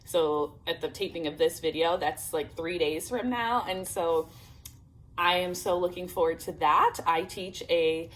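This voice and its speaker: A woman's voice: lowish voice, unhurried, a bit bright, a little hiss or hum, rough recording. A woman in her thirties chatting to camera as a homeschool vlogger, upbeat and full of fillers, speaking through a sore throat. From Illinois, United States. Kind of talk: casual chat to camera.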